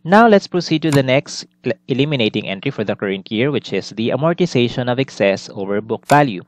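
A man's voice: medium pitch, animated, a little fast, dry recording. A man giving a recorded accounting lesson, explaining methodically step by step and restating his points. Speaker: accounting instructor. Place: indoors, screen-recorded lesson voice-over.

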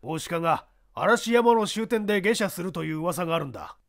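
A man's voice: high-pitched, lively intonation, moderate pace, gravelly. A man voicing a jealous, threatening big young man in an audiobook drama. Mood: angry, demanding. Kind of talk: audiobook character acting.

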